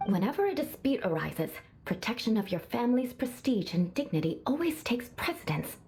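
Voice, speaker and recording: A woman's voice: fairly high pitch, big pitch swings, brisk, mellow, slight background noise. A woman voicing a proud, formal young noblewoman knight in a video game, with pompous, theatrical phrasing. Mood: haughty, formal.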